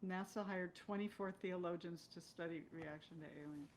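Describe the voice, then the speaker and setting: A woman, a guest on a show, chatting casually and thoughtfully, pausing mid-sentence as she works out her point. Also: lowish voice, steady tone. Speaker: guest, stage and screen actress. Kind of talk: casual conversation.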